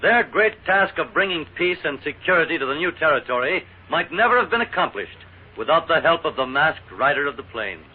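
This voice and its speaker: A man's voice: a little high, sing-song, a little fast, husky. An American man narrating a 1940s radio drama as its announcer, in a theatrical, declamatory manner. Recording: tinny, noisy 1940s radio broadcast.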